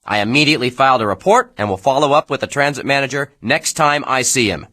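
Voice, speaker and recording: An actor playing a self-important, aggrieved shipping bureaucrat, dictating an officious, indignant memo for a video game. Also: male voice, mid-range voice, expressive, fast, sharp tone.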